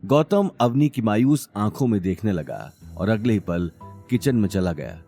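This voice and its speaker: A man's voice: on the low side, big pitch swings, unhurried, gravelly, mellow, no echo, soft background music. A man narrating a scripted audio drama serial in a dramatic storytelling style.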